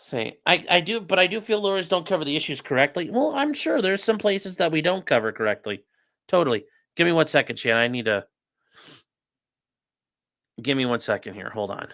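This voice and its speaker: A man's voice: on the high side, big pitch swings, fairly quick, creaky, fairly bright tone. Joking man chatting on stream. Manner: joking, sarcastic, talks straight to chat. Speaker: streamer and legal commentator. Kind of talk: casual livestream chat reading viewer comments while building a model.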